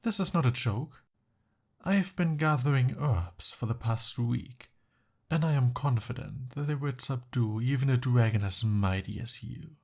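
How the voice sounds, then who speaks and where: A man playing a smooth-talking, eager young captive prince in an ASMR roleplay, with formal, courtly and hesitant phrasing. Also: mid-range voice, measured pace, darkish tone. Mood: defiant bluffing.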